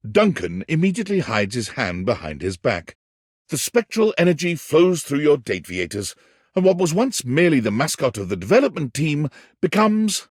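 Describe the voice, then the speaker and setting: A man's voice: mid-range voice, no room sound, clean studio sound. An actor playing a wry, dramatic storyteller, a man narrating a cheeky dating game in an arch, florid, theatrical way. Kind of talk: scripted narration.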